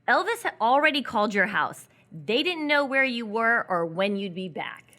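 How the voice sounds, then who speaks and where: A young runaway woman played by an actress in a live radio play, wry and teasing. Her voice sits fairly high and swings widely as she needles and mocks. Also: sing-song, moderate pace, sharp tone. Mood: dry, knowing. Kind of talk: live radio theatre acting.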